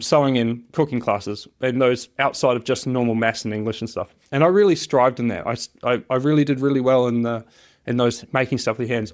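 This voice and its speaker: A man from New Zealand with a New Zealand accent, talking casually and hesitantly as an interview guest, rambling through personal stories. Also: medium pitch. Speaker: interview guest, job shop machinist.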